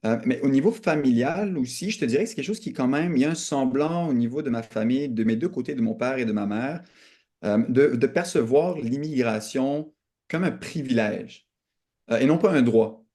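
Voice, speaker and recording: A young man with a mellow, relaxed voice and a Quebec French accent answers as an interview guest. His pitch sits in the middle, and he speaks earnestly and reflectively, restating points for emphasis. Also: moderate pace. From Canada. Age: young adult. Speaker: podcast guest.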